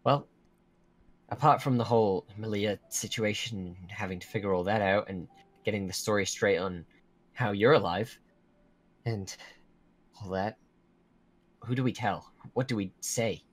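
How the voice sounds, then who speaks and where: A man's voice: fairly low pitch, measured pace, pauses often. A man playing a worried, protective young werewolf in an improvised Minecraft roleplay, talking in a hesitant, explaining way. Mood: pondering. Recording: music under the voice.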